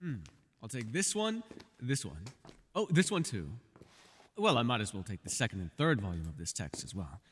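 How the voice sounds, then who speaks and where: A man performs a bookish male elf companion for a fantasy audio roleplay, with a lowish voice and very lively intonation. He is wry, guarded and formally wordy, and the sound carries a long hall-like reverb. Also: moderate pace, slight background noise. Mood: absorbed muttering. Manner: wry, wordy and formal, flustered when pressed. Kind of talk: fantasy ASMR roleplay acting.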